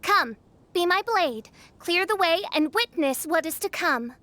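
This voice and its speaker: A woman's voice: extremely high pitch, expressive, measured pace, sharp tone, no echo, exaggerated voice. A woman voicing a grandiose teenage girl who poses as a princess in a video game, declaiming pompously in archaic phrases. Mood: imperious. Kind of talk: video game cutscene acting.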